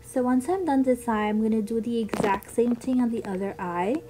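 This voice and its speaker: A woman's voice: on the high side, moderate pace, music in the background, rough recording. A young woman with a childlike voice narrating a makeup tutorial in a chatty, upbeat way. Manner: chatty, upbeat product talk with ums. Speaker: beauty vlogger.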